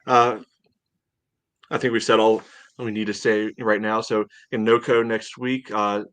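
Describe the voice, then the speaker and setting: A middle-aged man hosting a casual sports podcast, meandering and halting, often padding his sentences with filler phrases. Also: fairly low pitch, level tone, a bit bright, no echo. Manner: halting, meandering delivery with frequent "you know". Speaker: podcast host.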